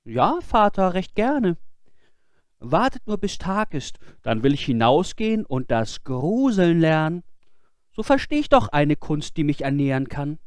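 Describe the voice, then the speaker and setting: Storybook role: innocent fearless lad, high and emphatic, guileless, male reader acting. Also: sing-song, measured pace, smooth, no echo, clean studio sound. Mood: eager, compliant. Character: naive, fearless, cheerfully innocent simple-minded boy. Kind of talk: fairy-tale storybook character voice.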